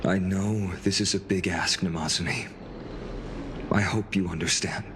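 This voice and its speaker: A man playing a composed warrior lord in a game, measured and comradely. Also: sits low, steady tone, noisy background. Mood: grave, courteous. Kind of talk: video game character dialogue.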